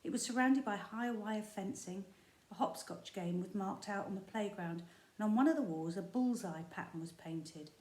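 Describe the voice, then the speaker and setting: A woman primary school teacher reading a children's book aloud, expressive and storytelling, with the odd slip. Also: mid-range voice, moderate pace. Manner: expressive storytelling with small slips and asides. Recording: echoey room.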